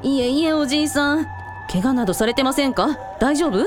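A caring female shrine priest played by a woman takes charge in a radio drama. Her high voice is brisk and concerned, over clear background music. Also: lively intonation. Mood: caring, urgent.

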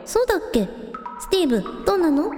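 A woman's voice: very high-pitched, sing-song, fast talker, darkish tone. A woman playing a determined, plain-spoken young village idol heroine, casual and questioning, in a radio drama. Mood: curious, decisive. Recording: echoey hall reverb, faint music behind.

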